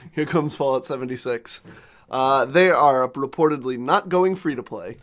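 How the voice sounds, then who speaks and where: A man co-hosting a casual gaming podcast, reading news articles aloud while losing his voice and clearing his throat. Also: medium pitch, a little slow. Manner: clears throat, reads quotes in runs. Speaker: podcast co-host.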